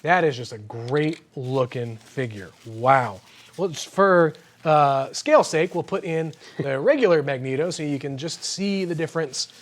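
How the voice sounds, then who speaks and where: A man's voice: a little high, unhurried. A man hosting an unboxing show in casual, slangy, enthusiastic chat full of exclamations.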